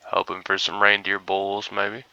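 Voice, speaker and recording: Groggy young man with a deep gravelly voice. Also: completely flat delivery, unhurried, pushed and bright, no room sound, dull audio. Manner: laid-back, rambling, mumbly asides. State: groggy, just woken up. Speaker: gaming streamer. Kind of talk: casual gameplay commentary.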